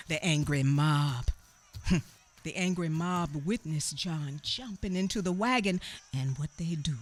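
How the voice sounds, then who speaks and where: Actress as solemn woman narrator recalling her youth; slow, expressive, hushed asides. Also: on the low side. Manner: emphatic, with hushed asides and knowing murmurs. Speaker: narrator of a radio play. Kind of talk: radio theater storytelling.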